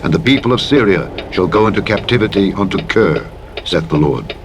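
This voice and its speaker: Low-voiced man solemnly reading scripture. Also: animated. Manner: measured, declamatory. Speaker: audiobook narrator of the Bible. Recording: engine and vehicle noise over playback. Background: truck engine and road noise from a driving game.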